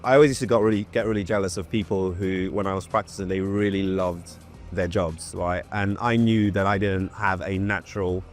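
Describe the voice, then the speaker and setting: Man from nigeria, fast talker, lowish voice, animated, faint music behind. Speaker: former solicitor turned founder. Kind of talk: casual panel discussion.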